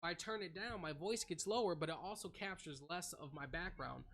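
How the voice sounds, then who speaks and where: A young man explains streaming software settings in a relaxed, chatty way, his voice a little higher than most men's. He speaks close into a desktop condenser microphone at his home setup. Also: fairly quick. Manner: plain, explanatory, drawls some words. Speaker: streaming tutorial host. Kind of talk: casual screen-recorded tutorial. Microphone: desktop USB condenser mic.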